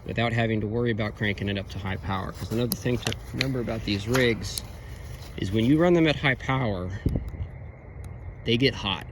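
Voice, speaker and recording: A man giving an informal, unscripted technical review, explaining things in a halting way. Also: on the low side, background noise. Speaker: radio equipment reviewer.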